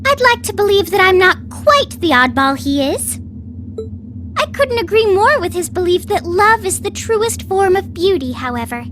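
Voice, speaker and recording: Very high-voiced, playful young princess. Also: female voice, sing-song, a bit bright, faint music behind, full character voice. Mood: teasing. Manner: genteel, teasing, unhurried. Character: playful, refined, daring teenage princess. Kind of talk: video game voice acting.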